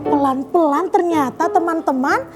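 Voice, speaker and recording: A woman's voice: very high-pitched, moderate pace. A woman storyteller addressing young children, narrating in an interactive way and checking in with her listeners. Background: music.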